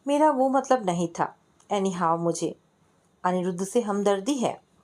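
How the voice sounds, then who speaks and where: A woman's voice: medium pitch, measured pace, darkish tone, lightly acted. Young female student, played by a woman narrator: playful, curious, caring, insistent, questioning delivery for a family story. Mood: doubtful.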